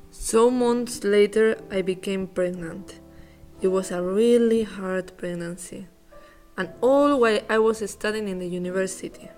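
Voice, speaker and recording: A woman in her twenties, raised in Spain, co-hosting a podcast and reading her personal story in an earnest, steady way. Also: medium pitch. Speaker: podcast co-host. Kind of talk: reading a self-introduction.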